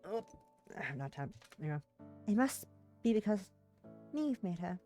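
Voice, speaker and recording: A woman's voice: medium pitch, big pitch swings, measured pace, dark tone, faint music behind. A woman livestreamer reading a visual novel's narration aloud, stumbling over words and rereading phrases, with brief casual asides.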